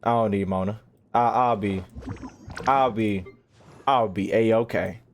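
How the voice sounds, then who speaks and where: A man's voice: on the low side, fairly even intonation, takes it slowly, a little hiss or hum. A man chatting casually to his livestream audience while gaming, slangy and profane, with mock exasperation. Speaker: video game streamer talking to chat.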